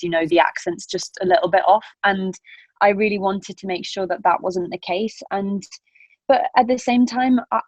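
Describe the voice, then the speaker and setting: Young woman actor guest, 20s, mixed British accent, lowish, lively and chatty, dry close mic. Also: no room sound, low-quality recording. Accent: British mix with Northern Irish, Scottish and Manchester traces. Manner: animated, chatty, laughs often while talking. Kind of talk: casual interview chat. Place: podcast interview setting.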